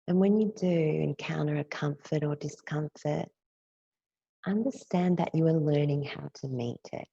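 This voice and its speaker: A woman teacher leading a guided yoga nidra meditation in a gentle, soothing, unhurried way, leaving long pauses between phrases. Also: low-pitched, vocal fry, breathy edge, no echo, muffled recording.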